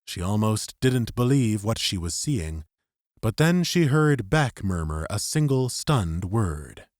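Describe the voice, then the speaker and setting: A man's voice: on the low side, big pitch swings, takes it slowly, darkish tone, dry recording, studio quality. A man reading dramatic scripted narration as an audiobook narrator. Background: music.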